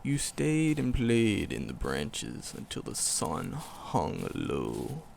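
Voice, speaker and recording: A man voicing an ancient, hulking forest demon, tender and wistful, in a gravelly, poetic, slow-phrased ASMR roleplay. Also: fairly low pitch, wildly swooping pitch, a little mellow, a little hiss or hum, heavily acted. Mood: fond, nostalgic. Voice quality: gravelly, growling creak. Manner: slow, poetic, storybook phrasing with dramatic pauses.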